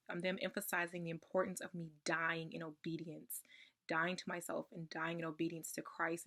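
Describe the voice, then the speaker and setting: A young woman getting over a cold, her voice slightly raspy, sharing her personal testimony in a soft-spoken way with frequent ums. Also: fairly low pitch. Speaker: ministry member sharing testimony.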